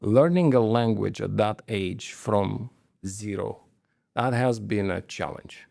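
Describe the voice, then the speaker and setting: A man's voice: fairly low pitch, takes it slowly, gravelly, a little mellow, no room sound, clean studio sound. A man, a company manager telling stories in an interview, hesitant, with many fillers and restarts. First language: Romanian. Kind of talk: conversational interview storytelling.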